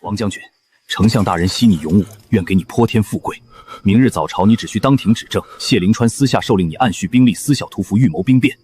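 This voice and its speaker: A man as a husky, menacing scheming envoy. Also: lowish voice, fast talker, a little mellow. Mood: coaxing, threatening. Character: menacing silky henchman envoy of a scheming minister. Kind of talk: Chinese costume drama dialogue.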